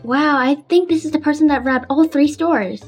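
A high-pitched, businesslike female cop acted by a woman asks and informs in a plain procedural way. It is an improvised game roleplay with faint music behind the voice. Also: normal speed, lightly acted. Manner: matter-of-fact, procedural questioning. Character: businesslike female police officer taking robbery reports.

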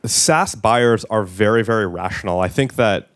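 A man's voice: lowish voice, normal speed. A man in his thirties speaking as a guest at a tech meetup fireside chat, conversational with filler words and restarts, on a handheld mic in an event room. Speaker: product executive and guest speaker.

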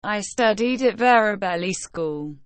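A woman reading short English phrases for learners, slow and careful, evenly spaced. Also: mid-range voice, expressive, no room sound.

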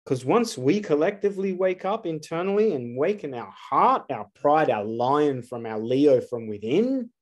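A man's voice: a little high, big pitch swings, a little slow, few pauses. A man, giving an earnest solo spiritual talk to camera, asking rhetorical questions with emphasis. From Australia. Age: 40s. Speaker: astrologer.

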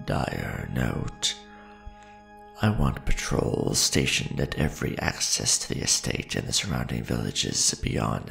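Man playing a gravelly, imperious ancient vampire lord. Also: low-pitched, heavily acted. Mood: cold, menacing. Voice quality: gravelly, creaky. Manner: formal, grandiose, measured, dramatic. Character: centuries-old male vampire lord, imperious, aristocratic, tender to his beloved. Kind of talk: ASMR roleplay acting.

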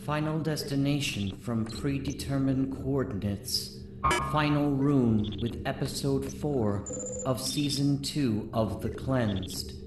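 A man's voice: mid-range voice, slow. A man announcing an audio drama episode in a dramatic scripted style. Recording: echoey, distant, loud music.

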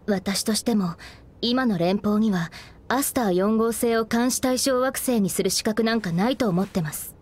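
A woman's voice: mid-range voice, moderate pace, a little mellow, natural voice. A woman voicing a friendly young female officer of a space federation in a game cutscene, explaining things politely and earnestly. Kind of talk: video game cutscene voice acting.

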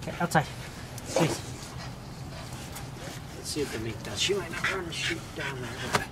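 A man's voice: fairly high pitch, animated, a little slow. A man in his thirties giving casual running commentary while ratting with dogs, calling commands and praising them, on a body camera. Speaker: pest control ratter with dogs. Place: outdoor dirt pens on a farm. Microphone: chest-mounted body camera. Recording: noisy outdoor camera audio. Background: dogs and outdoor ambience.

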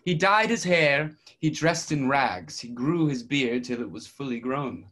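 A man's voice: mid-range voice, expressive, measured pace, pushed and bright. A man acting in a readers' theatre piece, playing a pompous storyteller king who declaims comic rhymed verse. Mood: storytelling. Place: indoors at home on a video call.

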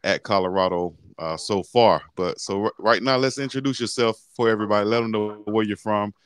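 A man's voice: fairly low pitch, a little fast, clean tone. A man hosting a casual sports interview show over a video call with a headset, laid-back and chatty with frequent fillers. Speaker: sports talk show host. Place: indoor room, video call.

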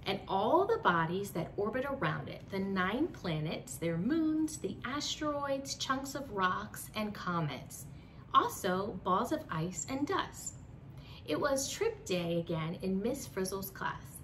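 A young woman reading a children's storybook aloud in an expressive storyteller style. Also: a little slow. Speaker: children's book reader. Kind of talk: animated storybook read-aloud. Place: small home room with bookshelves. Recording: slightly distant mic, faint rumbling noise.

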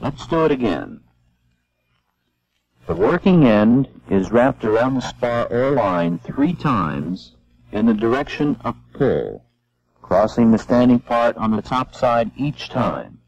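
A man giving measured, step-by-step scripted narration for an instructional film. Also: mid-range voice, big pitch swings, darkish tone. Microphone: voice-over. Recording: dull lo-fi old film soundtrack.

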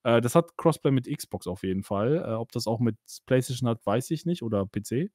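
A man's voice: medium pitch, expressive, fairly quick, a little mellow, no room sound, clean studio sound. A man hosting a casual podcast about games, chatty and full of fillers, laughing now and then. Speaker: podcast host. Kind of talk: casual gaming podcast chat.

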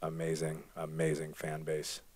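A man in his thirties, a basketball player answering postgame questions at a press conference, soft-spoken and full of fillers. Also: a bass voice, completely flat delivery, a little slow, slightly rough, no room sound. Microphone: table microphone.

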